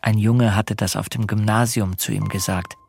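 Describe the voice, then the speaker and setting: Low, gravelly man narrating a radio play. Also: fairly even intonation, moderate pace, a little mellow, slight background noise. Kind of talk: scripted literary narration in a radio drama.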